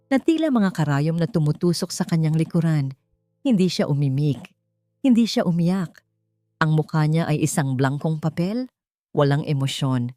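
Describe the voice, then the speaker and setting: A woman's voice: low voice, mellow, dry recording, clean studio sound. A woman storyteller reading a scripted, dramatic tale as narration. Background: music.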